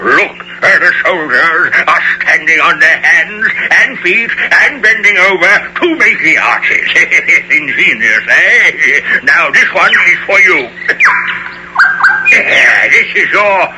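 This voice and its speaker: A man's voice: a little high, expressive, slow. An actor playing a fussy, self-important, doddering king in an old-time radio play, with a pompous, stammering delivery. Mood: amused, patient. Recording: noisy old radio broadcast.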